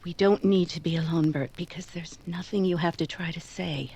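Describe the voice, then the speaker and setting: An actress as a composed, low-voiced woman, radio drama. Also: steady tone, normal speed, dark tone, breathy edge. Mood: composed, firm. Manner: composed, restrained, firm. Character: stoic, gentle woman, Miss Nellie, quietly letting a suitor go. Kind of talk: 1940s radio drama acting.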